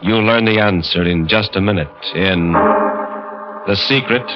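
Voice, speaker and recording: A deep-voiced man announces and narrates 1940s radio horror in a slow, ominous, theatrical way. The old recording is muffled, with music around him.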